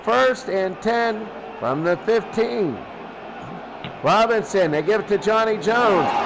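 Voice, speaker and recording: High-pitched male football radio announcer, noisy background. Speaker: play-by-play radio sports announcer. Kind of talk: live football commentary and stat recaps. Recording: clear background noise.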